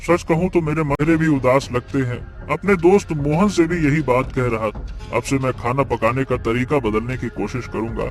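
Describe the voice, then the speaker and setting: A man's voice: mid-range voice, moderate pace, close to an everyday voice. A man playing a troubled but eager small-eatery cook in a cartoon moral story, speaking expressively and emphatically. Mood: apologetic, earnest. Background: faint music.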